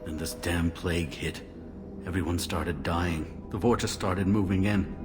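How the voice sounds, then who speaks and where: A man's voice: low-pitched, fairly even intonation, measured pace. An actor playing a wary, weary man sheltering in quarantined slums in a video game, speaking grimly and matter-of-factly. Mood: grim, informative. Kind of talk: video game dialogue acting. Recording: music playing behind the voice.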